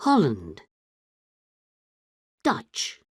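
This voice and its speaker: A woman with a low, husky voice reads vocabulary words one at a time, very slowly. Each word is drawn out carefully, and her pitch sweeps widely. The sound is dry and close. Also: huge pitch swings, no room sound. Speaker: vocabulary reader for a language lesson. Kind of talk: reading word lists slowly.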